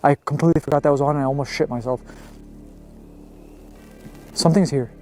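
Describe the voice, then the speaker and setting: A man's voice: a little high, fairly even intonation, racing, darkish tone, soft background music. Scared man whispering in the woods. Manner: frightened, whispering, swearing. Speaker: camper filming himself. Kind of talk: night-time vlog. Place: woods at night, outdoors.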